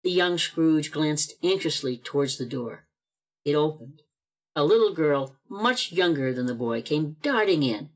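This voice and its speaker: A man's voice: a little high, unhurried. Man narrating a radio play. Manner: expressive storytelling. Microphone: video call.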